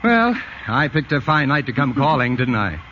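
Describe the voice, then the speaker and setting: A man's voice: medium pitch, sing-song, moderate pace, highs cut off. A man playing a chatty, wry family friend in a 1940s radio drama. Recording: old radio broadcast, loud hiss.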